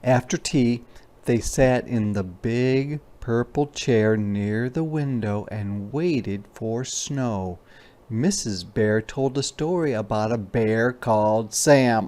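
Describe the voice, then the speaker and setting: A man's voice: fairly low pitch, darkish tone. A man reading a children's storybook aloud as a teacher, with informal, explanatory asides in simple words.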